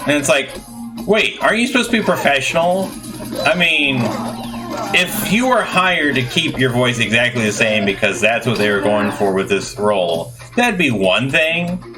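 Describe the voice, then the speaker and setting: Male gaming host, mid-pitched, rambling and opinionated, game music loud underneath. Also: expressive, moderate pace. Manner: rambling, opinionated, self-correcting. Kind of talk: casual let's play commentary.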